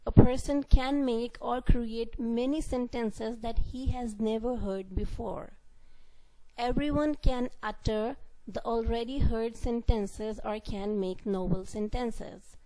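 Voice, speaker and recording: A woman's voice: on the high side, slow, warm tone, rough recording. A woman lecturing on grammar, explaining patiently and rephrasing each point. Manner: explanatory, repeats and rephrases points, reads out example sentences. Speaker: university lecturer. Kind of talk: classroom lecture.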